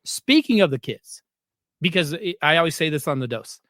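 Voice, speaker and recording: A man's voice: fairly high pitch, sing-song, moderate pace, fairly bright tone, dry recording, clean studio sound. A man hosting a casual interview, talking emphatically and repeating phrases to drive points home. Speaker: podcast host.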